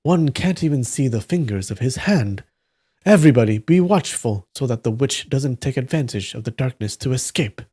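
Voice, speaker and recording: A man's voice: medium pitch, animated, moderate pace, dark tone, husky. A man playing a commanding Taoist martial-arts master who speaks formally, in a storybook. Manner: declamatory, formal.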